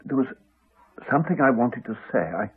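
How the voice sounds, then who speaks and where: An actor playing an earnest, wry, reflective doctor in a 1980s radio drama. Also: male voice, mid-range voice, expressive, brisk, breathy edge. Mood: weary, confessional. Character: earnest male doctor, reassuring, wry, later weary and dying. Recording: muffled, narrow-band 1980s radio broadcast.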